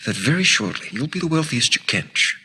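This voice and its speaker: A male actor playing a casually menacing alien villain in a sci-fi TV drama, insinuating and unhurried, over a noisy soundtrack. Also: medium pitch, big pitch swings, close to an everyday voice. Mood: silky menace. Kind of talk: sci-fi television drama acting.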